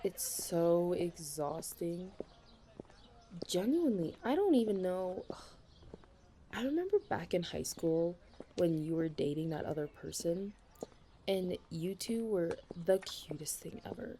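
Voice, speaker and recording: A woman's voice: fairly low pitch, sing-song, slow, dark tone, a little hiss or hum, full character voice. A young woman playing a chatty, dramatic best friend in a casual ASMR audio roleplay. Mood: wistful, candid. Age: young adult. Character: chatty, caffeine-loving female best friend, self-deprecating about dating.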